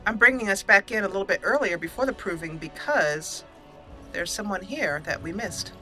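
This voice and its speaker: A woman's voice: mid-range voice, lively intonation, moderate pace, pushed and bright, faint music behind, low-quality recording. A woman hosting a let's play, casually commentating and storytelling in a roleplay style.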